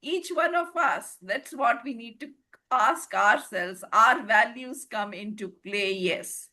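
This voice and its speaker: A woman giving her opinion in a group discussion on a video call, in a deliberate, reflective, moralizing way. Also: on the high side, pushed and bright. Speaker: book club participant.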